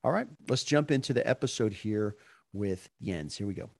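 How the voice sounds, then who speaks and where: A man with a lowish voice hosts a podcast interview in a relaxed, conversational way, often pausing with fillers and restarting his phrases. The sound is dry and close, with no room around it. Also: creaky, dry recording. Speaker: podcast host. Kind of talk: casual interview chat.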